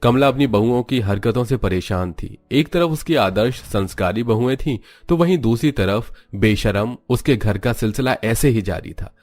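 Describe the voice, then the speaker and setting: A man narrating a cartoon story in a steady, dramatic storytelling manner. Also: mid-range voice, normal speed, few pauses, no room sound, soft background music.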